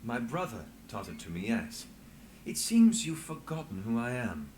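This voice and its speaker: Man acting a guarded, commanding inspector, expressive pitch. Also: a little hiss or hum, lightly acted, rough recording. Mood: probing. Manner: formal, commanding, measured. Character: authoritative male inspector, guarded, weary, quick to command. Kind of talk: scripted video game acting.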